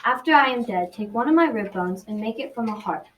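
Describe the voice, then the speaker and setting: Young girl as earnest talking animal guide, radio folktale, higher voice, background noise. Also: lively intonation, fairly quick, a bit bright. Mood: solemn, instructing. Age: child around 12 to 14. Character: self-sacrificing talking animal helper with a tail, solemn. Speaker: student actor. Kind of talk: school radio drama acting. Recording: noisy amateur recording, distant mic.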